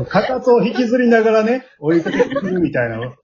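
A man's voice: high voice, huge pitch swings, normal speed, a crowd in the background. A man with a Kansai accent hosting a casual round-table after-talk, often chiming in with small acknowledgements. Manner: frequent backchannels and chuckles. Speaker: host. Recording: dull, lo-fi sound.

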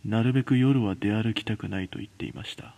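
A man narrating a scripted horror story as a podcast narrator, in a measured, dramatic way with pauses. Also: lowish voice, gravelly, warm tone.

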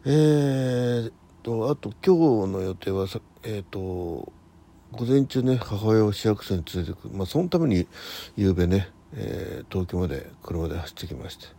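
Male host, 60s, deep mellow voice, rambling informal morning chat with muttered asides. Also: animated. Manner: halting, rambling, muttering to himself and correcting himself. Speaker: host of a morning audio diary show. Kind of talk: casual solo radio chat.

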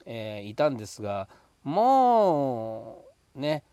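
A man's voice: mid-range voice, wildly swooping pitch, slow, mellow, clear, resonant tone, dry recording. Man chatting casually, dry close sound. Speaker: podcast host. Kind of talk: casual solo chat.

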